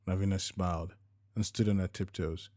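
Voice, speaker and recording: A man's voice: low-pitched, fairly even intonation, normal speed, warm tone. A man reading scripted fiction as a narrator, telling a romantic story in prose.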